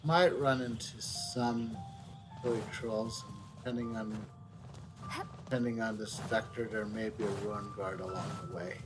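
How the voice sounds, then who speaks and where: A man's voice: lowish voice, fairly even intonation, measured pace, music in the background, poor audio. A man giving casual video game commentary as a streamer, musing aloud as he plays.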